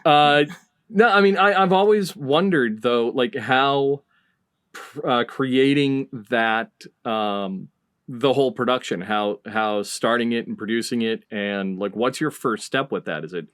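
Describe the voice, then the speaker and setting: A man's voice: mid-range voice, moderate pace, a bit bright, no echo, studio quality. A man hosting a podcast in a casual, conversational interview style. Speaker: podcast host.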